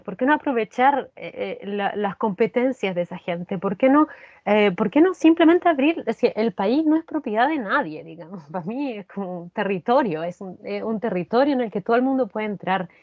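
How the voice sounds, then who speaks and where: A woman with a Chilean accent answering in an interview, reflective and earnest, often halting and repeating phrases. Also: medium pitch, lively intonation, dry recording. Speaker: actress, interview guest. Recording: dull, lo-fi sound.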